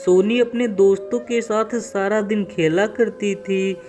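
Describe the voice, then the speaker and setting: A woman's voice: medium pitch, steady tone, a little slow, warm tone, faint music behind. A woman narrating a scripted cartoon story as its storyteller.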